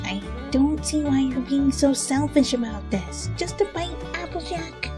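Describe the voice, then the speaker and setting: A woman's voice: high voice, lively intonation, unhurried, over music, pitched above the performer's own voice. A woman voicing a brash, hungry undead pegasus mare in a dramatic story reading, with a slangy, pushy, wheedling manner.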